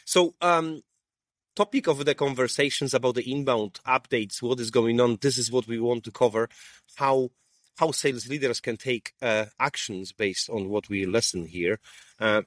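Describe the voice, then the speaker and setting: A male host of middle pitch chats with a guest on a sunny rooftop, stopping and restarting his sentences and leaning on fillers. The sound is close and dry, with no room echo. He asks loose, winding questions. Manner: halting, many fillers and restarts. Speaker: podcast host. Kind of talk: casual interview.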